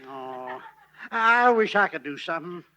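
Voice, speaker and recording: An actor with an American accent playing a flustered, folksy stagecoach driver in a 1940s radio western. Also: male voice, high voice, sing-song, unhurried, sharp tone, full character voice. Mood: worried, reproachful. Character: jittery, simple, good-hearted stagecoach driver in Old West. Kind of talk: 1940s radio drama acting.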